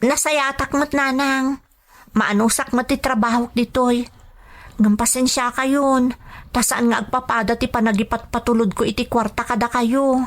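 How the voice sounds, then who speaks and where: A woman acting an anxious, dutiful Filipina maid, higher-voiced. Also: steady tone, moderate pace, close to an everyday voice. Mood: worried, reassuring. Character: dutiful, anxious young Filipina maid working abroad, patient daughter. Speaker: drama actor. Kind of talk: radio drama acting and letter narration.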